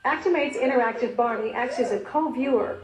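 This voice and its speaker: A woman's voice: fairly high pitch, brisk, echoey room. A woman reading scripted promotional narration for a video.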